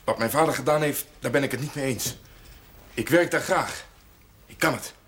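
An actor playing an earnest, awkward young farmhand in a television drama, speaking in a hesitant, apologetic manner. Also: male voice, medium pitch, moderate pace, fairly bright tone, a little hiss or hum, natural voice. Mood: hesitant, uneasy. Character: earnest, awkward young farmhand asking for his job back. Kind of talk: naturalistic television drama dialogue.

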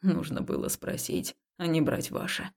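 Woman voicing a low, croaking, hesitant man. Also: sing-song, normal speed, a little mellow, heavily acted. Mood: subdued, earnest. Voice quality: hoarse, croaking whisper. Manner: hesitant, subdued. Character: grown man, reserved director, awkward and earnest love interest. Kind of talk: audiobook character dialogue.

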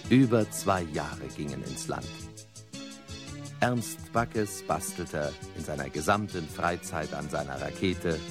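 Very low slow guy narrating, music underneath. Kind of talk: scripted radio drama narration.

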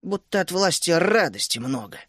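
A man voicing a bossy, hot-tempered female gnome who scolds and shouts. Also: high voice, very animated, moderate pace, no echo, studio quality, full character voice. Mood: thoughtful. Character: stern bossy female gnome housewife, fiery.

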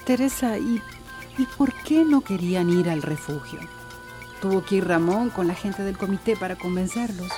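An actress playing a gentle, affectionate wife with a Central American accent, in a radio soap opera. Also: female voice, medium pitch, animated, normal speed, music in the background, close to an everyday voice. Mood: concerned.

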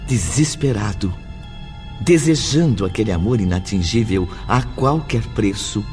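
A man narrating a drama from a script in a solemn, measured way. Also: on the low side, animated, a little mellow, faint music behind.

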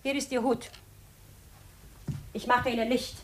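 Imperious, higher-voiced scornful aunt acted by a woman. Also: level tone, measured pace, a little hiss or hum, lightly acted. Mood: scornful, defiant. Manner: imperious, biting, theatrical. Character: proud, scornful, defiant aunt accused of murder. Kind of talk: 1950s German radio play acting. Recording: 1950s radio drama recording.

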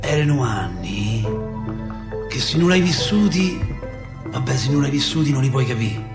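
A man's voice: medium pitch, animated, moderate pace, over music, lightly acted. An actor playing a working-class Roman father in a radio play, telling his memories in a colloquial, repetitive, emphatic way with a Roman accent. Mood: wistful. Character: working-class Roman father recalling 1970s street politics, wistful, impassioned. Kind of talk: radio theatre monologue.

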